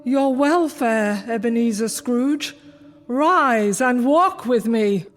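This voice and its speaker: Woman doing a grave spirit, commanding and slow, long echo effect, soft music behind. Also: on the high side, a little hiss or hum. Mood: solemn. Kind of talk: radio drama acting. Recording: reverb effect on the voice.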